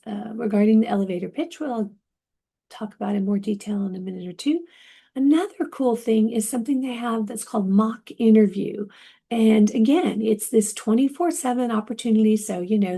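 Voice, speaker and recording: A woman teaching a recorded class. She has a mellow, relaxed tone with a gravelly edge, and she talks conversationally, filling gaps with ums and restarting phrases. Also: mid-range voice. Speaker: instructor.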